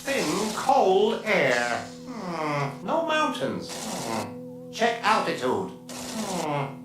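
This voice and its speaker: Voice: mid-range voice, wildly swooping pitch, extremely slow, heavily acted. An actor playing a chatty, over-friendly spaceship computer in a sci-fi radio comedy, breezy and chummy with a sing-song delivery. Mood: breezy, musing. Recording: distant, echoey, music over it.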